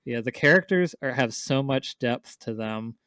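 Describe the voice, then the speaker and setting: A man's voice: medium pitch, animated, moderate pace, fairly bright tone, dry recording. A man chatting casually as a podcast co-host, enthusiastic and rambling about his favorites.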